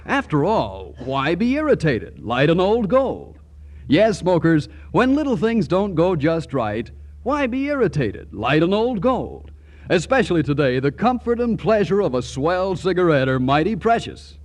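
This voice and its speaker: High-pitched, animated man doing radio sponsor patter. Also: unhurried. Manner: jovial, punchy. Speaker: announcer pitching a cigarette sponsor. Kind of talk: 1940s radio advertising patter.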